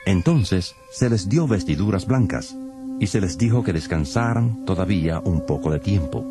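A man's voice: low-pitched, lively intonation, moderate pace. A man narrating dramatized scripture in a solemn, dramatic manner. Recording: loud music behind.

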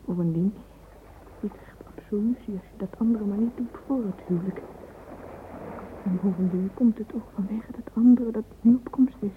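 A woman's voice: mid-range voice, moderate pace, slight background noise, close to an everyday voice. An actress playing a dreamy, romantic young woman in a radio play monologue, whispering intimately and fervently through a narrow, phone-like recording. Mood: hushed, infatuated. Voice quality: breathy, whispery. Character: dreamy romantic young woman lost in yearning love fantasies.

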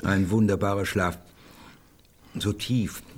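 An actor playing a wry, leisurely older gentleman in a German radio drama, musing in a husky voice. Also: fairly low pitch, fairly even intonation, takes it slowly, slight background noise.

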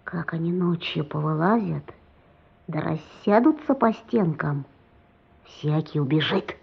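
A woman playing a superstitious street boy in a radio play, telling scary tales in a hushed, drawn-out way. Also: low voice, gravelly, slightly rough, heavily acted. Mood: spooky, fearful. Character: spooky-storytelling street boy, wide-eyed and superstitious. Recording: muffled radio play recording.

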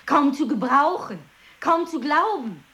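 A bossy woman in an experimental radio play. Her high voice swoops widely as she gives slow, deliberate orders. She sounds like someone inspecting every detail. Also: animated, pushed and bright, exaggerated voice. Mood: commanding, probing. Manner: commanding, slow, deliberate phrasing.

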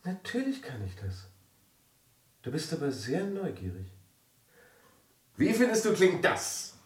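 An actor in a German radio drama playing a smooth-talking, evasive, scheming husband. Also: male voice, on the high side, huge pitch swings, measured pace. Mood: self-satisfied. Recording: roomy, distant, loud piano music.

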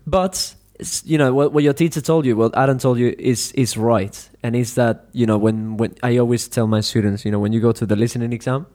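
A man who teaches English hosts a casual podcast interview with a student. His voice is warm and mellow. He talks loosely, restarting often and leaning on "you know". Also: medium pitch, steady tone, brisk, hardly any pauses. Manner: rambling, many "you know" fillers and restarts.

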